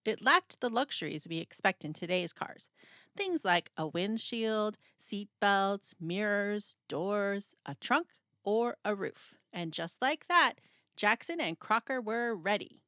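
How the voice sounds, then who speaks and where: Animated woman reading a storybook aloud. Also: medium pitch, dry recording. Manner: animated, dramatic pauses and emphasis, playful sound words. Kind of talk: expressive scripted picture-book narration.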